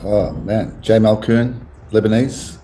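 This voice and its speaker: A man's voice: on the low side, takes it slowly, mellow, a little hiss or hum. A man in his sixties with an Australian accent, talking bluntly and with many restarts in a casual interview. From Melbourne, Australia. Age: 60s. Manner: blunt, rambling, restarts often.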